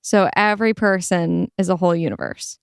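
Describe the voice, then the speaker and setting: A smooth, clear young woman played by an actress, feisty and skeptical. She is a frank heroine in an audio drama, recorded dry with faint music. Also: lowish voice, fairly quick, dry recording. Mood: curious.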